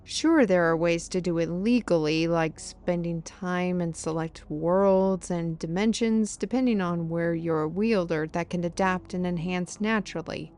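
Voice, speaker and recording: A woman's voice: on the low side, measured pace, hardly any pauses, a little mellow, natural voice. A woman playing a knowledgeable fantasy companion, explaining lore in an earnest, wordy way in an audio roleplay. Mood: instructive.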